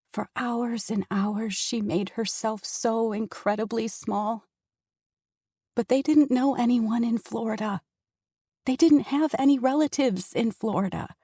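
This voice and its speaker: Female audiobook narrator, mid-pitched, husky tone, dry studio booth. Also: dark tone, no echo. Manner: intense, dramatic storytelling. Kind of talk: scripted narration. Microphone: close studio mic.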